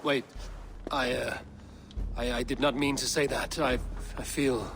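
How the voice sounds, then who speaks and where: An actor playing a proud, battle-worn Norse god in a video game, guarded and grave, sometimes halting. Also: male voice, medium pitch, level tone, a bit bright, slight background noise. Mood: drugged, halting. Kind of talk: dramatic game cutscene acting.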